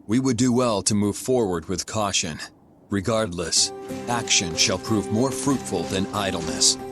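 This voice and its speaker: Man acting a low-voiced, resolute noble warrior. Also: loud music behind the voice, close to an everyday voice. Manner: formal, measured wording. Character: resolute, courteous male warrior of duty, formal and grave. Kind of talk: video game cutscene acting.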